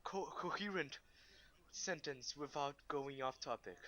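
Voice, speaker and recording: A man's voice: on the high side, unhurried, a bit bright. A young man co-hosting a casual podcast, trying to get the group back on topic. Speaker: podcast co-host. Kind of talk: casual chat.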